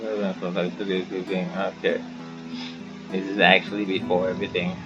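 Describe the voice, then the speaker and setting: A man's voice: deep voice, little pitch movement, fairly quick, muffled recording. A man giving casual playthrough commentary as a gaming host, muttering to himself and reacting aloud. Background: game music.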